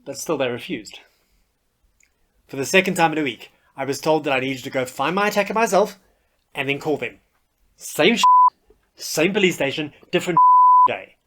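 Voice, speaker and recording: A man's voice: on the high side, huge pitch swings, normal speed, pauses often, very noisy. A man telling a personal story as a podcast host, candid and wry. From South Africa.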